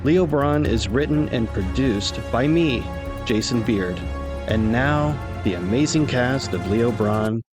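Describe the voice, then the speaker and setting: A man's voice: mid-range voice, lively intonation, slow, music in the background. A man who writes and produces the show, announcing scripted promos and credits.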